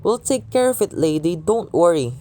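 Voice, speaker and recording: A woman acting an indifferent, placating male police desk officer in a radio play, noncommittal and unhurried. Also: lowish voice, expressive, mellow, lightly acted.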